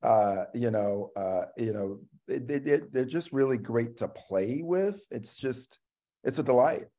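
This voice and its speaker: A man's voice: mid-range voice, lively intonation, unhurried, warm tone. Middle-aged man chatting as a guest. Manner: searching, checks in with "right?" and "you know". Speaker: actor, panel guest. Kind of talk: casual conversational explanation. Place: indoor room on a video call.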